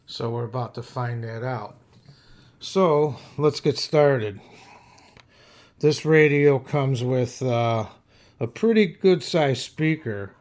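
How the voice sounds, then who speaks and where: A man talks through a product review at a slow, unhurried pace. His delivery is casual and unscripted, with a hesitant, plain-spoken manner and a medium-pitched voice. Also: darkish tone. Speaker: radio reviewer.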